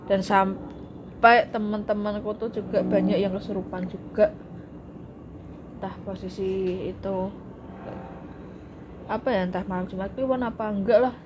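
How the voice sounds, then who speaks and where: A woman's voice: mid-range voice, fairly even intonation, moderate pace, pauses often. Woman, jokey storyteller, noisy room recording. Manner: chatty, jokes around between spooky anecdotes. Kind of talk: casual storytelling chat.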